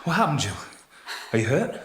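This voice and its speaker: An actor playing a protective, indignant British scientist in a radio drama, earnest and reproachful. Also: male voice, medium pitch, big pitch swings, brisk, background noise, natural voice. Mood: anxious. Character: protective, indignant male scientist, loyal to a troubled colleague. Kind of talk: naturalistic radio drama acting.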